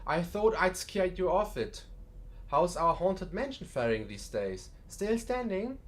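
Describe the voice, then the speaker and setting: A man's voice: high voice, sing-song, measured pace. A man playing a genial, wry museum curator in an audiobook drama, chatty and dryly joking with a macabre humour. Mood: matter-of-fact.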